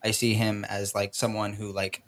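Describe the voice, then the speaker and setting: A man co-hosting a podcast, chatting casually in an earnest, halting way with repeated phrases. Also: on the low side, completely flat delivery, fairly bright tone. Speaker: podcast co-host. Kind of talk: casual chat.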